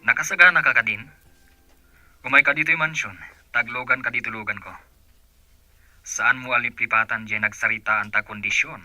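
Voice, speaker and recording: A man voices a rich boss who gives orders briskly and expects them obeyed. His voice is tense and forceful, recorded dry and close, in a radio drama. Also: medium pitch, steady tone, no echo, soft background music. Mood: commanding. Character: stern rich male boss giving orders. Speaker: boss on the phone.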